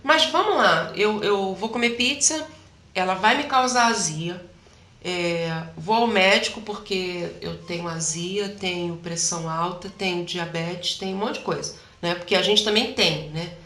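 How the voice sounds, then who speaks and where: A woman, a health practitioner, explaining things in an animated interview chat and often acting out quoted voices. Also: on the low side, unhurried, roomy sound.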